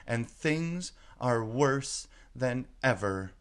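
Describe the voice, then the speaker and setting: A man's voice: mid-range voice, lively intonation, extremely slow, clear, resonant tone. A man voicing a small, earnest, polite and fretful girl in a storybook reading. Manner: earnest, wondering, apologetic.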